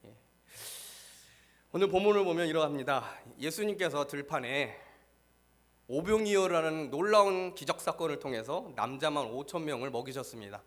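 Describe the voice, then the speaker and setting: A man preaching a sermon as pastor, hoarse from a cold, reading scripture and then explaining it earnestly. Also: moderate pace, a bit bright. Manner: earnest, rises in pitch and force when stressing a point. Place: church.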